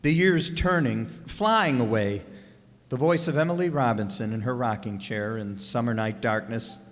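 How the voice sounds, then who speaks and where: A man's voice: medium pitch, normal speed. A man delivering dramatic scripted narration for a radio play. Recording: echoey hall, music behind.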